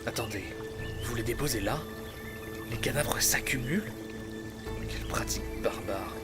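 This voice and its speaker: A man's voice: medium pitch, moderate pace, lightly acted. A man playing a sardonic, skeptical traveler from an advanced parallel world in a sci-fi fantasy audio drama, dry and condescending in manner. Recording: voice under loud background music.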